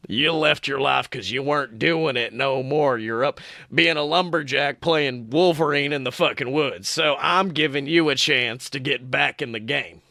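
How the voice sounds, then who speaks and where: A man voicing a pushy undead trickster who wheedles like a folksy, fast-talking salesman in a podcast story. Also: mid-range voice, creaky, sharp tone, dry recording, clean studio sound. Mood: wheedling. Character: sleazy decrepit undead trickster ghoul, pushy pitchman.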